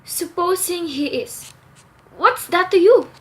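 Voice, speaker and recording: Very high-pitched, haughty mother played by a woman. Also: animated, slow pace, a little hiss or hum, heavily acted. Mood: scornful, indignant. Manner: curt, scornful. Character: haughty, indignant mother of a grown son. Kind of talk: amateur radio play acting.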